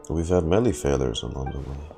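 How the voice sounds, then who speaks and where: A man's voice: rumbling low, creaky, dark tone. A man giving casual reaction commentary as he streams a video game, close on the microphone. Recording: game music behind the voice.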